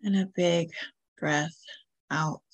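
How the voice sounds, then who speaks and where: A woman leading a guided meditation as a podcast host, giving soothing, gentle instructions with long pauses. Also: deep voice, fairly even intonation, breathy edge, no room sound.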